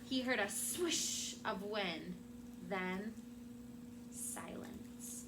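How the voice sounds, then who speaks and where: A woman's voice: medium pitch, drawn-out words. Woman teacher reading aloud over room noise. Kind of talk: expressive storybook read-aloud to young children. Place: classroom. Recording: noisy room with background ambience.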